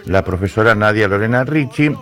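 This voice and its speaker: A man's voice: on the low side, lively intonation, brisk, fairly bright tone, soft background music. A man hosting a radio news segment in a studio, paraphrasing statements and leaning on fillers.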